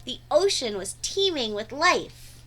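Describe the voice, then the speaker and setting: Bright, animated woman reading aloud. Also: high voice. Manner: animated, dramatic emphasis with drawn-out words. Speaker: teacher reading aloud to children. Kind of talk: expressive storybook read-aloud.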